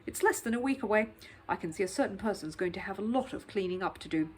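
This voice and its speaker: A woman's voice: medium pitch, animated, slight background noise, close to an everyday voice. Woman playing a stern, no-nonsense housekeeper. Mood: doubtful. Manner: brisk, firm, admonishing.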